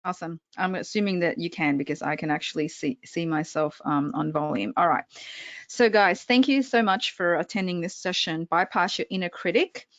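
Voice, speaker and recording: A woman's voice: fairly low pitch, moderate pace, dry recording. A woman with an Australian accent gives an interactive public speaking webinar and keeps turning questions to her audience. She sounds upbeat and engaged. The sound is dry and a little dull, as if from a computer mic on a video call. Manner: upbeat, addresses the audience directly. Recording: dry, slightly dull online audio.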